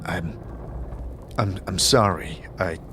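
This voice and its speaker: A man's voice: low-pitched, noisy background. Hesitant, polite male wanderer, acted by a man. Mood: apologetic, earnest. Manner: halting, stammering questions. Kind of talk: audio drama character acting.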